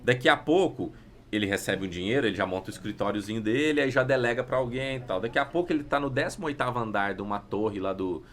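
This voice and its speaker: A man's voice: mid-range voice, quick pace. A Brazilian man speaking as a podcast guest in a casual, informal business conversation, illustrating points with little stories.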